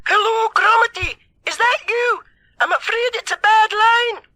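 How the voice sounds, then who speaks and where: A man voicing a muddled, sweet old village shopkeeper granny in a shrill falsetto for a children's storybook. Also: measured pace, pushed and bright, no echo, heavily acted. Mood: friendly, straining. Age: older. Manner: shrill falsetto, slightly bewildered.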